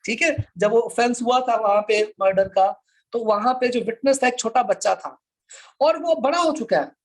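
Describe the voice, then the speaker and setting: A man's voice: very high-pitched, moderate pace. A man with a Pakistani accent lecturing informally to a class, explaining step by step and repeating his points. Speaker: law lecturer.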